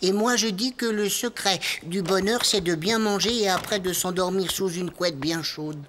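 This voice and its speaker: A woman's voice: medium pitch, moderate pace, fairly bright tone, slight background noise, natural voice. A woman voicing a no-nonsense, reassuring animal hospital colleague in a children's cartoon. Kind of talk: French-dubbed children's cartoon acting. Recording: faint music behind.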